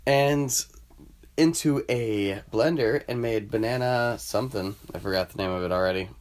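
A man's voice: fairly low pitch, moderate pace, darkish tone. A man hosting a podcast, chatting loosely and rambling after a few drinks. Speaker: podcast host. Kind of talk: casual chat.

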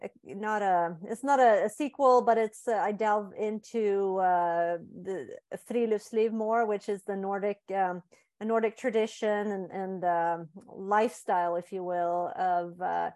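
A woman in her forties from Sweden, a guest author talking in a casual interview, thoughtful and halting, with many fillers and restarts. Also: mid-range voice, steady tone, a little mellow.

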